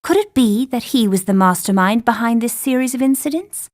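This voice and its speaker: A woman plays a thoughtful young female assistant telling a game's story. Her fairly high voice is measured and pondering, as in scripted narration. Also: lively intonation, darkish tone, close to an everyday voice. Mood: pensive.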